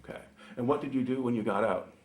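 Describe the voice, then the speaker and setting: A man's voice: mid-range voice, fast. A man with an American accent conducting an informal oral history interview.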